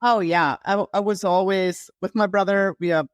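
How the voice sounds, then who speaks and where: A woman talks through her upbringing as a podcast guest. Her speech is halting, full of fillers and restarts, at a middle pitch. The sound is close and dry with no room echo. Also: fairly even intonation. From Germany. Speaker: guest, health coach. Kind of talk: casual interview conversation.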